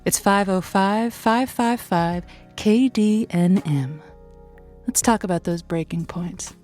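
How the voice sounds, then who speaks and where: An actress playing a wry, soothing host of a late-night radio call-in show, speaking in a deliberate, confiding way. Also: female voice, medium pitch, a little mellow, soft background music, lightly acted. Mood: intimate, reflective. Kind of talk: scripted radio drama, intimate monologue and chat.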